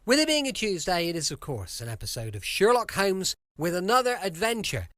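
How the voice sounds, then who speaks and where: A man's voice: sits high, sing-song, fairly quick, fairly bright tone, dry recording, clean studio sound. An English man hosting a podcast, chatting informally in a rambling, chirpy way from home. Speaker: podcast host.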